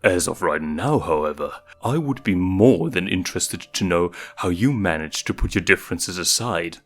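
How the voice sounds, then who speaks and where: A man voicing a calm, proud lion king and father in a measured, regal manner for a story. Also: deep voice. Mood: calm, grave. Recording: music behind the voice.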